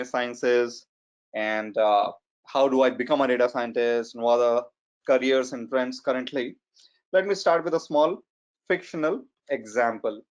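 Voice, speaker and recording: Indian man lecturing on a muffled webinar. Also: mid-range voice. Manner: measured, hesitant with fillers and restarts. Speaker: data science trainer. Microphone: computer mic on a video call. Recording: slightly muffled online webinar audio.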